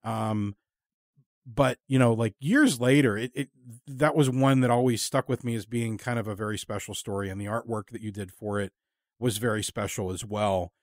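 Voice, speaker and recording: A man hosting a casual interview, speaking in an earnest, rambling and halting way. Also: lowish voice, no room sound, studio quality. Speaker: podcast host and interviewer.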